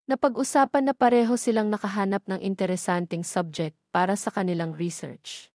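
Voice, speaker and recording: A woman's voice: mid-range voice, fairly even intonation, a little fast, darkish tone, dry recording, clean studio sound. A woman with a Filipino accent reading a scripted romance story as its narrator.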